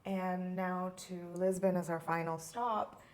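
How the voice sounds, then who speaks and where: A woman's voice: lowish voice, steady tone, unhurried. A woman from India talking casually as a guest in an interview.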